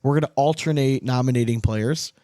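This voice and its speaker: A man with a mid-pitched voice talks sports as a podcast host in casual, slangy banter. He is blunt and loose with his words, recorded close and dry. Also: brisk, warm tone, dry recording.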